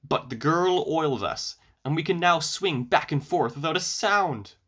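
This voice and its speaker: A man's voice: a little high, lively intonation, measured pace, a bit bright, breathy edge, pitched above the performer's own voice, full character voice. A man voicing a pair of long-neglected talking gates in a storybook, full of grievance. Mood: aggrieved.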